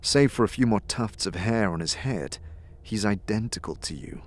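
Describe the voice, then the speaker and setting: A man with a husky, gravelly voice reading scripted second-person fantasy narration as narrator and game master. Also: fairly low pitch, animated, normal speed.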